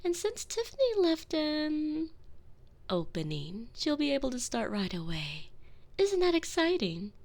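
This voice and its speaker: A woman voicing a seductive, commanding vampire mistress in an intimate audio roleplay, teasing and manipulative, often dropping to soft whispers. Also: sits high, huge pitch swings, measured pace, vocal fry, heavily acted. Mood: taunting. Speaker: voice actress in a one-sided audio roleplay. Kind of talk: intimate binaural roleplay, addressing the listener.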